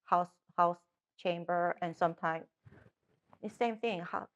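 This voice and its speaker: A woman's voice: lowish voice, fairly even intonation, measured pace, lo-fi sound. A middle-aged woman with a Korean accent, a native Korean speaker, answering interview questions as a political candidate with frequent restarts and fillers.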